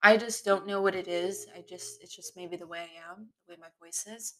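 A woman hosting her own podcast, talking off the cuff in a candid, rambling way, her voice sometimes smooth and sometimes raspy. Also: fairly low pitch, fairly even intonation, fairly quick. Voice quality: voice shifts between smooth and raspy. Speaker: podcast host. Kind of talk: unscripted solo podcast monologue. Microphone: computer mic in web browser.

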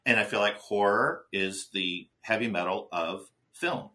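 A man's voice: lowish voice, unhurried, sharp tone. A man co-hosting a casual film podcast, rambling and chatty with lots of restarts and fillers. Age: older than his co-host. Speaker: podcast co-host.

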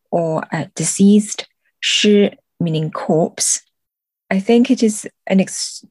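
A woman explaining things as an interview guest, thoughtful and measured, pausing between phrases. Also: fairly low pitch, no echo. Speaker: podcast guest, translator.

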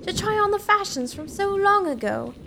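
A woman playing a mischievous, excitable young lady with theatrical exclamations in a gothic comedy audio drama. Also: very high-pitched, normal speed, creaky, background noise, heavily acted. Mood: impatient, playful.

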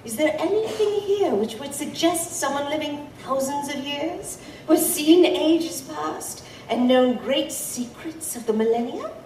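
A woman playing an ancient, wise, secretive being with formal, measured, archaic wording and a wry temper. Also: high voice, lively intonation, a little mellow, recorded from a distance. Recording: echoey, off-mic, ambient noise behind.

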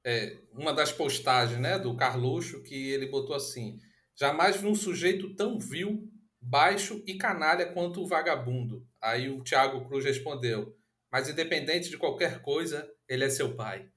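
Bright-voiced Brazilian man from Rio. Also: mid-range voice, expressive, moderate pace. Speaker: guest. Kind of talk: casual podcast chat.

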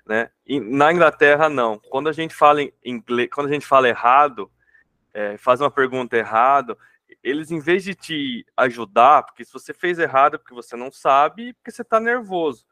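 A man's voice: medium pitch, expressive, brisk, pushed and bright, no echo, studio quality. Joking Brazilian man hosting a podcast. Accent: Brazilian Portuguese. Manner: informal, joking, with colloquial asides. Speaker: podcast host. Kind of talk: casual interview conversation.

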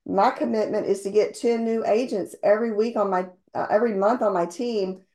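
Slightly hoarse woman giving a pep talk. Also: medium pitch, steady tone, brisk, warm tone. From based in Houston, Texas. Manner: earnest, rambling, with many ums and restarts. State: slightly losing her voice. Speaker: insurance agency owner sharing sales tips. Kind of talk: motivational talk on a team call.

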